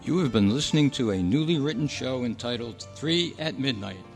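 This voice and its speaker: A man announcing in old-time radio style, reading scripted credits with formal, deliberate enunciation. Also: mid-range voice, animated. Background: music.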